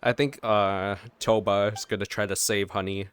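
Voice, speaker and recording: A man's voice: on the low side, steady tone, moderate pace, a bit bright, clean tone. A man giving casual reaction commentary as he watches a video game story. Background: faint game music.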